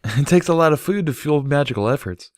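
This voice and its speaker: A man's voice: fairly high pitch, animated, fairly quick, no echo, studio quality, pitched above the performer's own voice, close to an everyday voice. A man voicing a cheerful, playful and chatty adult female pony in a storybook reading. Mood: teasing.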